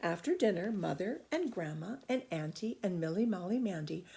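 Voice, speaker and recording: A woman reading a children's story aloud in expressive narration. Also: on the low side, normal speed, vocal fry.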